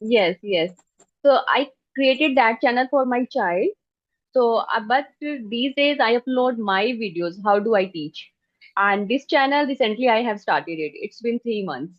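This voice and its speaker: A woman from Haryana, a teacher interviewing a learner and often adding soft backchannels. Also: on the high side, expressive, measured pace, dry recording. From Haryana, India. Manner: attentive, frequent soft backchannels. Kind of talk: interview conversation. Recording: dull, dry lo-fi audio.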